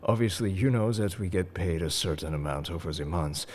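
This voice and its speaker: A man playing a suave, enigmatic, quietly world-weary secret agent in an intimate, flirtatious audio roleplay, speaking to the listener in a coaxing, theatrical way. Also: low-pitched, fairly quick. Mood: playful, coaxing. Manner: smooth-talking, coaxing, theatrical. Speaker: voice actor in fan audio roleplay.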